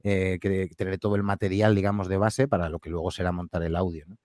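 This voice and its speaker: Deep-voiced guy talking fast, dry close sound, lots of ehs and restarts. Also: flat delivery, darkish tone, no echo. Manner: hesitant, many fillers and restarts, friendly. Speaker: podcast creator and guest. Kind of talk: relaxed interview answers. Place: home studio.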